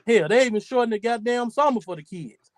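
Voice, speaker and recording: A man's voice: very high-pitched, animated, fairly quick, no room sound, studio quality. A man in his forties hosting a podcast, talking in a loose, profane, rambling way. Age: 40s. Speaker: podcast host. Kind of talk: casual chat.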